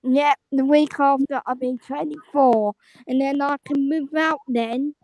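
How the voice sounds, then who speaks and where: Young woman caller from the Caribbean, high voice, slow, halting, stumbling speech, dry sound. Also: fairly even intonation, a little mellow, no echo, lo-fi sound. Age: 20s. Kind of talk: casual chat.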